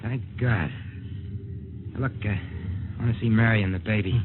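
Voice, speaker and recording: A man's voice: fairly low pitch, moderate pace, phone-quality sound, natural voice. An actor playing a shaken, weary young male test pilot in a 1950s radio drama. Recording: thin 1950s radio transcription with noise.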